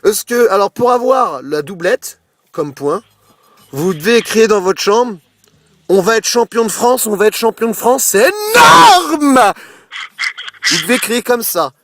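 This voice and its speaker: A man with a high-pitched voice plays radio game host on a prank call. He improvises his patter and pushes his contestant along with hyped-up, boisterous urging. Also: sing-song, measured pace, fairly bright tone, slight background noise. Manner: boisterous, pushy, hyped-up exhortations.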